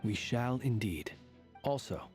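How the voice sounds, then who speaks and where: A man voicing a guarded, formal, terse character in a video game, with a husky voice. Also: medium pitch, lively intonation, slow pace, darkish tone, soft background music. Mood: guarded, dry.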